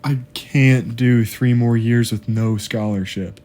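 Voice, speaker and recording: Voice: medium pitch, fairly even intonation, slow pace, mellow, close to an everyday voice. An actor playing an anxious young college student in an audio drama, hesitant and pleading. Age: young adult. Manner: hesitant, worried.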